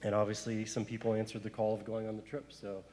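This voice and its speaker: A man's voice: lowish voice, little pitch movement, fast, a little mellow, slight background noise. A man from Zimbabwe speaking on a church panel, telling his story earnestly with frequent restarts. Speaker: panelist, missionary worker. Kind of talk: panel discussion. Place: church hall. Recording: echoey hall.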